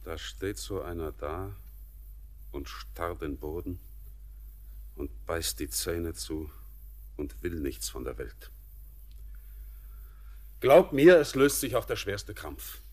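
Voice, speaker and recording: Stern mining foreman with feeling, low voice, declamatory in classic radio play. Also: lively intonation, slow, long pauses, exaggerated voice. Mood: earnest, poetic. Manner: declamatory, earnest. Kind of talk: poetic German radio play acting.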